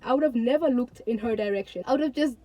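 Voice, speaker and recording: A woman's voice: a little high, fast, highs cut off. A young woman of eighteen from Tanzania, vlogging to her viewers in an earnest, chatty way and repeating words for emphasis. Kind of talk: casual talk to camera.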